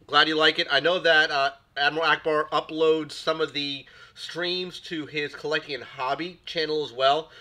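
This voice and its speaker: A man's voice: fairly high pitch, pushed and bright. Man with a cold rambling on stream. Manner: rambling, repeats words, greets chat viewers. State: recovering from a cold. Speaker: stream host. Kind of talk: casual live-stream chat.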